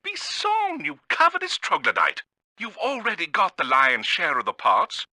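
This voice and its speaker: An actor playing a pompous, theatrical villainous bird genius in a video game, speaking with a British accent. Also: male voice, sits high, huge pitch swings, normal speed, bright, forceful tone, no room sound, clean studio sound, heavily acted. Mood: indignant.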